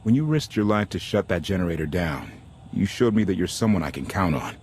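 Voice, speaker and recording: Low-voiced, earnest rebel captain. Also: male voice, sing-song, fairly quick, darkish tone, slight background noise. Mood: sober, sincere. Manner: casual, earnest. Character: male deserter warship captain, earnest, optimistic, idealistic rebel. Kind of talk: video game voice acting.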